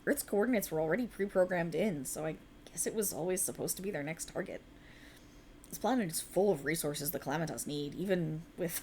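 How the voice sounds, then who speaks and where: A woman voicing a young alien woman in an ASMR roleplay, an earnest, teasing friend hiding as a human, who swears bluntly and talks softly and close. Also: sits low, a little hiss or hum, natural voice. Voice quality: soft, intimate and lively, with blunt teasing and swearing.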